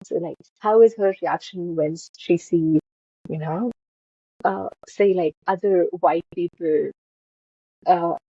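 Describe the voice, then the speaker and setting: A woman hosting a podcast who asks long, halting questions full of "uh" and "you know". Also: lowish voice, warm tone, breathy edge, no echo. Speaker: podcast host. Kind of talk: interviewing a guest. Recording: dull, dry lo-fi audio.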